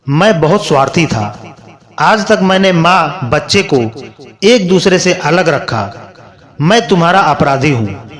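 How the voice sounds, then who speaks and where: A tender young husband and father from a storybook , read by a male narrator. He speaks slowly and earnestly, with a gentle, apologetic air. His slightly high male voice rises and falls expressively.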